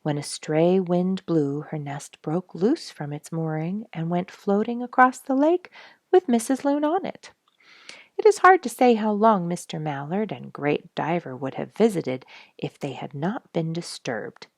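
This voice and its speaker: Woman, low creaky voice, children's storyteller, expressive read-aloud, dramatic emphasis. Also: a little slow, no room sound, studio quality. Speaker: storyteller reading a children's book.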